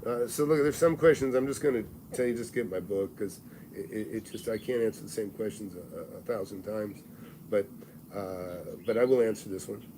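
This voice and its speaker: A man's voice: lowish voice, brisk, mellow, a little hiss or hum, low-quality recording. Blunt, folksy older man in his sixties. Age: 60s. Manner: blunt, folksy and salesy, with frequent restarts. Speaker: real estate mentor and channel host. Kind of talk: live-streamed advice talk.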